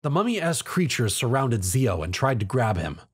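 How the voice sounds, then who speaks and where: Guy narrating an action story, lively pitch, slightly husky voice. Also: moderate pace.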